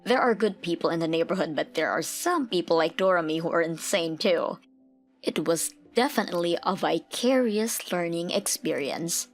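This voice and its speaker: A woman's voice: lowish voice, expressive, moderate pace, faint music behind. A woman reading scripted story narration for a manga dub.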